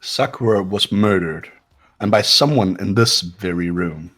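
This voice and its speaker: A man playing a cool, haughty young man in a fan-voiced game, measured and condescending. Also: low voice, a bit bright. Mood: cold.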